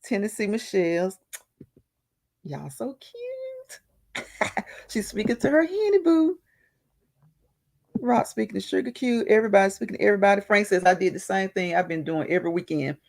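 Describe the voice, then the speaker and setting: Gravelly-voiced woman hosting a live chat. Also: mid-range voice, sing-song, moderate pace, pauses often, darkish tone. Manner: laughs often, greets viewers by name. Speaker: livestream host reading chat.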